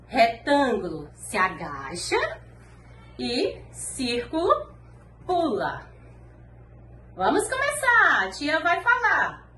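Slow sing-song Brazilian woman teacher. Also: mid-range voice, sharp tone. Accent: Brazilian Portuguese. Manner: slow, sing-song and encouraging, speaking to small children. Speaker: preschool teacher. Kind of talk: online preschool lesson. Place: classroom wall with posters, indoors. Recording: echoey room, faint background noise.

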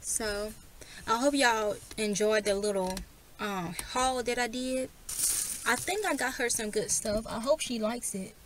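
A soft-spoken young woman, chatting casually to her camera in a parked car. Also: unhurried, slight background noise, rough recording. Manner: soft-spoken, low and quiet. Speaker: vlogger. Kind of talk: casual vlog chat.

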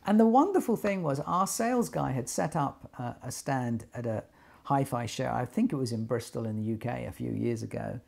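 A man's voice: mid-range voice, animated, normal speed, vocal fry, a little mellow. An older man telling his story informally to camera, animatedly retelling conversations and sometimes repeating words as he goes. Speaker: loudspeaker designer telling company story. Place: small attic sitting room, indoors.

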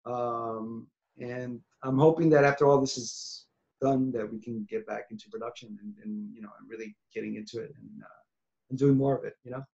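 A man's voice: mid-range voice, fairly even intonation, normal speed, vocal fry. Guy in his 40s talking over a muffled video call, keeps restarting sentences and trailing off. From New York City. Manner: rambling, many restarts, trailing off quietly. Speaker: singer-songwriter guest. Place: small room at home, indoors. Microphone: webcam on video call. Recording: dull lo-fi video call audio.

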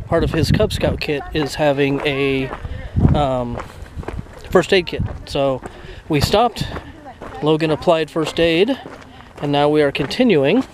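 A man in his forties chatting casually to a handheld camera held at arm's length while he walks outdoors. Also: a little high. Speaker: vlogger. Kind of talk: casual vlog narration. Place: outdoors on a hiking trail and in a parking lot. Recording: outdoor camera audio with steady background noise.

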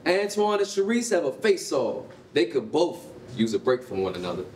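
A man with an American accent narrates a staged reading in a high voice with animated swings of pitch. He reads scripted stage directions in a roomy hall, picked up from a distance. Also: normal speed. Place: concrete-walled rehearsal hall. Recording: roomy, distant mic, faint noise.

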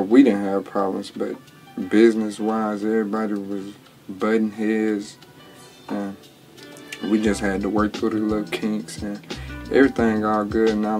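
Laid-back young man, low flat drawl. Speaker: rapper. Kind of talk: casual interview answers. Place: small home studio indoors. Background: music bed under the talk.

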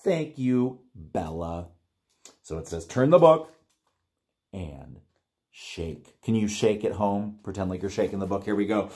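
A middle-aged American man reading a picture book aloud to young children in an animated, encouraging way. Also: lowish voice, unhurried. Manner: animated, exclaiming, simple encouraging talk to little ones. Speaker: children's librarian reading online storytime. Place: small plain room indoors.

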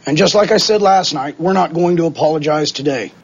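A man speaking slowly and deliberately in interview soundbites, pausing often. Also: a little high, slightly rough, muffled recording. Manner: slow, deliberate with pauses.

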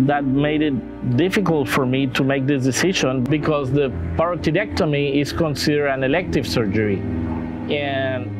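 A man in his sixties with a Mexican accent, a native Spanish speaker, telling his story as a patient in a documentary interview in measured, halting phrases. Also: a little high. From northern Mexico.

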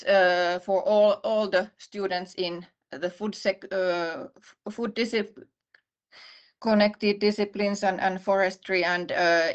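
A middle-aged woman with a Finnish accent gives an online presentation as a university vice-dean, speaking in a measured way with many pauses and restarts. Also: medium pitch. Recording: slightly muffled video call audio.

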